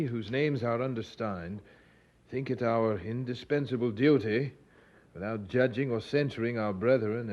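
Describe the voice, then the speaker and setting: An actor playing an earnest, gentle young preacher in an audio drama, speaking in formal, measured old-fashioned phrases. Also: male voice, mid-range voice, natural voice. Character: earnest 29-year-old English preacher, gentle, pious, weary. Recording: dull lo-fi audio with background noise.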